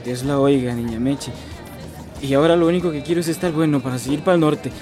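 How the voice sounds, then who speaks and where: A man's voice: a little high, brisk, music in the background, close to an everyday voice. An actor playing a humble, discouraged male migrant in a radio drama. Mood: downcast, hopeful.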